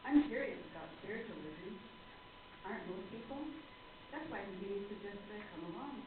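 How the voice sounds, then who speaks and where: A woman's voice: mid-range voice, lively intonation, brisk, phone-quality sound, close to an everyday voice. A woman acts as a young showgirl in a radio play, curious, polite and a touch tentative. She sounds distant in a very echoey room, on a thin, noisy recording.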